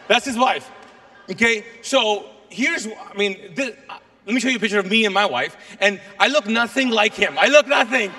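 A middle-aged man preaching to a congregation, his first language Urdu. His voice sits high and bright. It rings with a long reverb from a large church auditorium. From Kuwait. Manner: lively, joking with the congregation. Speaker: guest pastor. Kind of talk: sermon.

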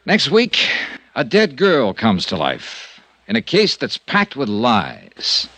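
An actor playing a tough, world-weary insurance investigator in a 1950s radio detective drama. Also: male voice, mid-range voice, huge pitch swings, slow, fairly bright tone, no room sound, full character voice. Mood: dramatic. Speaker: series lead and narrator.

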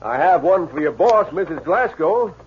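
An actor playing a blunt, sociable stagecoach driver in a 1950s radio western. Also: male voice, fairly high pitch, expressive, normal speed, husky. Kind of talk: 1950s radio drama acting. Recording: lo-fi old radio recording.

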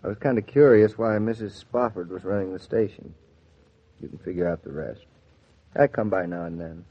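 An actor playing a gentle, reticent, solemn young husband in a 1940s radio drama. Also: male voice, low-pitched, normal speed. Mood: subdued, tender. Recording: dull vintage 1940s radio recording.

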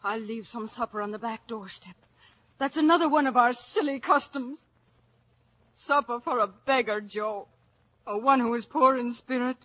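An actress playing a proud, heartfelt young Irish daughter in a radio drama, her voice slightly husky. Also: on the high side, normal speed, fairly bright tone. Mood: hurt, proud. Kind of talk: 1950s radio drama acting. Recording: muffled vintage radio broadcast.

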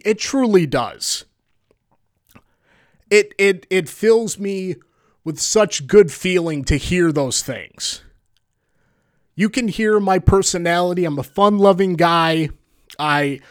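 A man hosting a solo podcast, previewing fights in a chatty, rambling way with a booming voice, often correcting himself. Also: fairly bright tone, no room sound, studio quality. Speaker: podcast host. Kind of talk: solo sports preview commentary.

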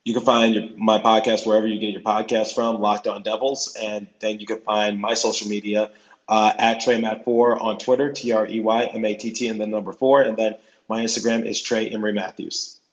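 A man with a hoarse voice, a guest on a sports podcast, talking casually and often repeating and restarting his words. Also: on the low side, little pitch movement, brisk, roomy sound, dull audio.

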